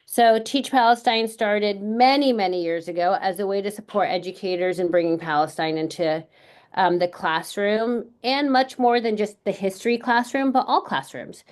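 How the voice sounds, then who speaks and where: A middle-aged woman from California, an educator, speaking earnestly and in a measured way at a book launch panel, with frequent pauses and fillers. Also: mid-range voice. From California, United States.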